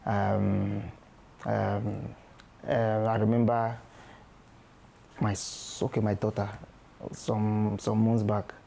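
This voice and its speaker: A man, a guest father, talking slowly with many ums and restarts. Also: fairly low pitch, monotone, vocal fry, warm tone, slight background noise. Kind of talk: candid podcast conversation.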